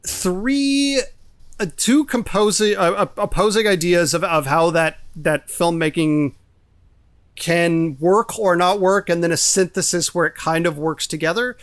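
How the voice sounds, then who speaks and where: High-pitched man, casual podcast talk. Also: unhurried, dry recording. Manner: informal, swearing. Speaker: podcast co-host. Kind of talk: casual film discussion.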